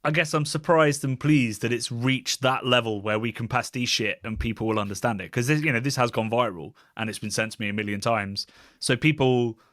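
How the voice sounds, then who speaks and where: A man's voice: mid-range voice, brisk, a bit bright, no echo, clean studio sound. A British man hosting a casual podcast, musing and rambling in a wry way. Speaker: podcast host, beer YouTuber.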